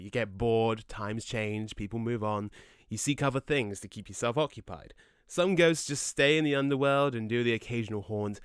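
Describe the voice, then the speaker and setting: Male actor for brash, slangy ghost pal, audio drama. Lowish, very animated delivery, easygoing yet quick-tempered. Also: clean tone, dry recording, studio quality, natural voice. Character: laid-back, cocky male ghost, a century dead, quick-tempered. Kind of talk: conversational audio drama acting.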